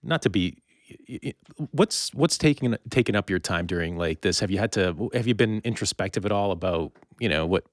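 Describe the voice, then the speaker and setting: A man hosting a casual interview, asking questions in a conversational, somewhat halting way. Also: low voice, lively intonation, no room sound, clean studio sound. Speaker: interview host.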